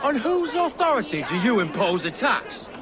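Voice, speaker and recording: An actor playing a defiant male merchant in a video game, loudly protesting with indignation. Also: very high voice, big pitch swings, normal speed. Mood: indignant. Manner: loud, protesting. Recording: noisy outdoor dock crowd ambience.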